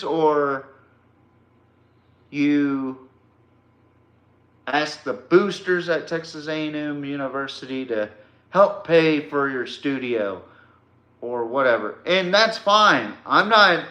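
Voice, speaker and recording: A male sports podcast host speaks bluntly and confrontationally, taking his time between phrases. His voice is forceful and bright, and the room around him echoes. The delivery is an informal, slangy rant aimed at a rival. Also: a little high, expressive, slow pace, long pauses.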